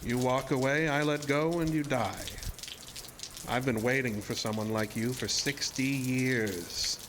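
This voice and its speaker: A man's voice: medium pitch, fairly even intonation, measured pace, background noise, natural voice. A man playing a sinister, seductive illusionist showman in a horror audio drama, speaking in a theatrical, formal and insinuating way. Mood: quiet menace. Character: sinister, seductive showman-illusionist, deceptively ageless male villain.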